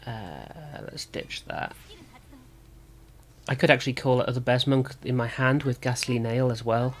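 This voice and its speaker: A man with a sore throat and slightly rough voice, thinking aloud as he gives casual commentary on a card game. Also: medium pitch, a little slow, slight background noise. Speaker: gaming video host.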